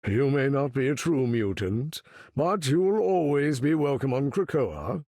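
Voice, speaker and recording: Old imperious mutant leader from a game, gravelly n slow, super formal and commanding. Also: male voice, medium pitch, lively intonation, mellow, no room sound, clean studio sound. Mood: grave, resolute. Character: imperious, weary older male mutant leader. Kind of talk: video game character voice lines.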